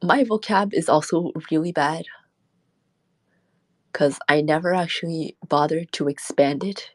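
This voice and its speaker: A woman's voice: deep voice, a little slow, no room sound. A woman streamer chatting casually and rambling, laughing often as she talks. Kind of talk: casual late-night stream chat.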